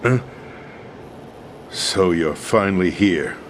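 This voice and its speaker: Deep-voiced, grave captive statesman. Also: expressive, slight background noise. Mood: resigned, suspicious. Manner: grave, measured, suspicious. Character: weary, dignified older male head of state held captive. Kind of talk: dramatic video game voice acting.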